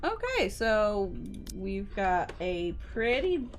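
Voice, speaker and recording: A woman's voice: mid-range voice, sing-song, slow pace. A woman livestreamer chatting casually with her co-host and viewers, explaining things politely. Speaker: game livestreamer. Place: indoor streaming setup at a computer.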